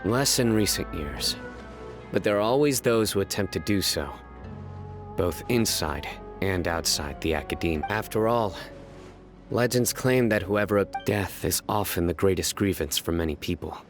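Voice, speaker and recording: Man voicing a deep, stern young judge. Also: close to an everyday voice. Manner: measured, clipped and formal. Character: stern young male judge, grave and terse. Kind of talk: video game voice acting. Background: game music.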